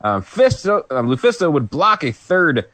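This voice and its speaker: A man's voice: fairly high pitch, very animated, moderate pace, sharp tone, dry recording. A man co-hosting a podcast, recapping a wrestling match in a casual, rambling play-by-play with asides. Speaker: podcast co-host. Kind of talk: casual wrestling review chat.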